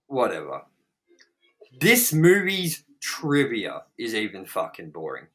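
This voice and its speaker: A man's voice: lowish voice, wildly swooping pitch, takes it slowly, a bit bright. A man hosting a casual, sweary movie review show, talking in a sarcastic, exasperated way.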